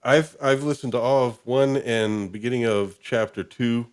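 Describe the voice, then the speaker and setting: A man's voice: mid-range voice, moderate pace, clear, resonant tone. A man hosting a show in a casual, informal chat, chuckling between remarks. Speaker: show host.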